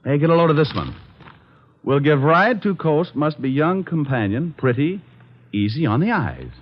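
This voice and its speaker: A man's voice: fairly high pitch, big pitch swings, moderate pace. A man playing a flippant, wisecracking private detective in a 1940s radio drama. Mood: playful, flippant. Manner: wry, flippant, playful. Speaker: lead actor and narrator. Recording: muffled old radio broadcast.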